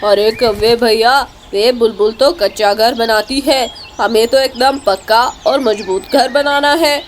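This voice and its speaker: Woman as an emphatic high-voiced cartoon jungle creature. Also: unhurried, slight background noise, lightly acted. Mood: earnest. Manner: exclamatory, emphatic. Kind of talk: Hindi cartoon story dialogue.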